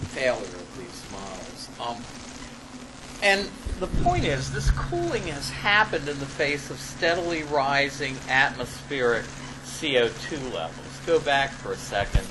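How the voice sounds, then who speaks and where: A man lectures from a written academic paper at a slow, deliberate pace. He hesitates often, leans on key words and breaks off for informal asides. The classroom recording has clear background noise, and his voice sits at an average male pitch. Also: big pitch swings. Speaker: lecturer presenting own paper.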